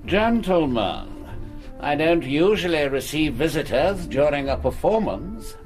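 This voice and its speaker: An actor playing a grandiose, bitter stage actor in a radio drama, husky and theatrically pompous. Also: male voice, on the high side, sing-song, unhurried, darkish tone, soft background music. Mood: mock-courteous. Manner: pompous, theatrical, mock-courteous.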